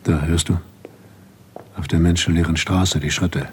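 An actor playing an aging, gentle and learned husband in a radio drama, grave and measured, with a husky voice. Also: male voice, sits low, steady tone, noisy background. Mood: tense, sombre. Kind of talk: literary radio drama acting.

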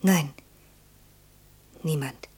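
A young woman with a lowish, extremely breathy voice that sinks toward a whisper. She sounds shy, lovelorn and quietly insistent, answering in hushed, brief phrases in radio drama style. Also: extremely slow, heavily acted. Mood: quietly resolved. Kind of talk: German radio play acting.

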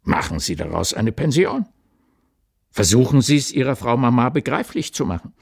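An actor playing a stern, blunt older family lawyer in a radio play, admonishing with deliberate emphasis. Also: male voice, mid-range voice, sing-song, creaky, slightly rough, dry recording, studio quality, exaggerated voice. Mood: urgent, admonishing.

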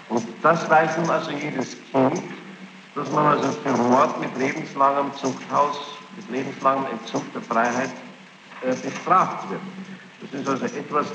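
Guy doing formal courtroom questioning, halting but insistent, sceptical, big echoey hall on an old noisy tape. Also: medium pitch, vocal fry. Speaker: courtroom examiner questioning a witness. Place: large reverberant courtroom.

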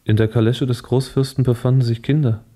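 Dark, husky anguished young idealist played by a man. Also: medium pitch, fairly even intonation, fairly quick, close to an everyday voice. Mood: defensive. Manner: pained, earnest. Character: sensitive, anguished young male idealist revolutionary poet. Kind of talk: radio play acting.

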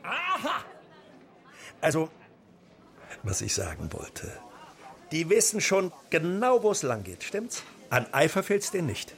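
Eager, blustering buffoon, wildly animated. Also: male voice, a little high, a little slow, gravelly, long pauses, a faint crowd, exaggerated voice. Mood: proud, gleeful. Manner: blustering, chummy, chuckling eagerness. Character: jovial, eager, foolish male Party busybody and proud father. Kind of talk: radio drama acting.

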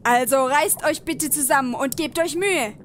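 A woman's voice: sits high, fairly bright tone, slight background noise. A woman playing a determined, bossy group leader in a comedic online game audio drama. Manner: brisk, commanding. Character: determined, bossy female gamer leading her group.

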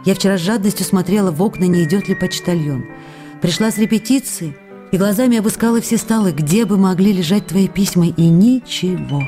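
An actress playing a lonely, adoring wife reading her letters to an absent husband, gently and emotively, in a radio drama. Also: mid-range voice, a little fast, lightly acted. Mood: longing, concerned. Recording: long reverb, faint music behind.

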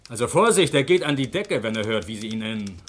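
An actor playing a cautious, dry police detective, a subordinate who talks in a wry, matter-of-fact way in a radio drama. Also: male voice, lowish voice, sing-song, fast talker, a little hiss or hum. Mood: guarded, wry. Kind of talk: German crime radio drama acting.

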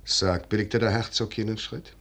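An actor playing a shrewd, commanding, noble general in a 1950s German radio drama, speaking in measured, deliberate declamation. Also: male voice, on the low side, fairly even intonation, fairly bright tone. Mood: probing.

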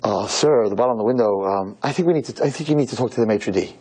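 A man's voice: on the low side, fast, mellow. A man voicing a formal, deferential wine waiter in an anecdote. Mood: taken aback. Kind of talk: acted dialogue in a spoken anecdote.

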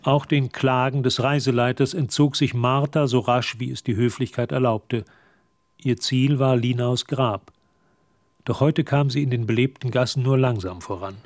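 A man's voice: medium pitch, fairly even intonation, normal speed. A man narrating a radio drama in a scripted literary style. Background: music.

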